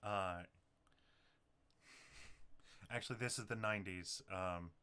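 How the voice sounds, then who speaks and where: A man narrating a tabletop roleplaying game as game master, talking haltingly as he thinks things through. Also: low-pitched, sharp tone, smooth.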